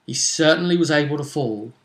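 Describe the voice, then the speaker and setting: A man's voice: on the high side, a little fast. A man hosting a role-play vidcast, talking casually through previews of upcoming matches.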